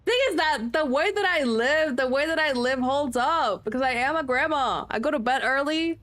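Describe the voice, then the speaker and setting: Chatty young woman streamer, slightly high voice. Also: moderate pace, a bit bright. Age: late 20s. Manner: chatty, self-mocking, swearing freely. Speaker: game streamer. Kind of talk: casual livestream chat.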